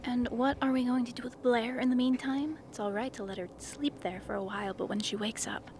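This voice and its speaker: A woman's voice: on the high side, steady tone, fairly quick, a little mellow, a little hiss or hum, natural voice. A woman playing a concerned, questioning expedition member in an amateur radio play.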